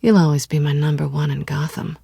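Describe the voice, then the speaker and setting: An American woman playing a sly, guarded cat-burglar in a video game, teasing and coolly wry, with a husky, breathy voice. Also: low voice, fairly even intonation, fairly quick, dark tone, no echo, studio quality, full character voice.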